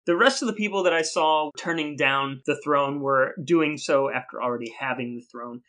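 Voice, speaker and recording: A man's voice: on the high side, moderate pace, a bit bright. A man co-hosting a casual comedic podcast, telling stories in a wry, playfully grand way. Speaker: podcast co-host. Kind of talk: casual comedic storytelling chat.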